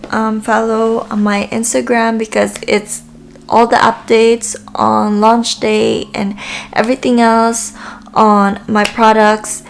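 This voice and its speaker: Slow, gravelly Filipino-accented woman. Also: medium pitch, slight background noise. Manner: relaxed, hesitant, with frequent ums. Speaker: makeup vlogger. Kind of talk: casual makeup tutorial talk.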